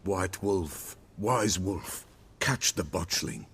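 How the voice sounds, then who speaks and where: A man's voice: sits low, lively intonation, very slow, a bit bright, a little hiss or hum, exaggerated voice. An actor playing an old male village soothsayer, cryptic and superstitious, who speaks in archaic, folksy sayings in a video game cutscene. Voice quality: gravelly.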